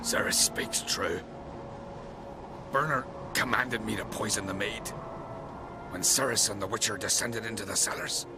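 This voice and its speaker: An actor playing a grim, bitter clan servant in a fantasy game, with a grave, blunt way of speaking. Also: male voice, fairly low pitch, steady tone, measured pace, pauses often, over loud music. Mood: grave, confessing. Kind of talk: dramatic fantasy game acting. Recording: dramatic score behind dialogue.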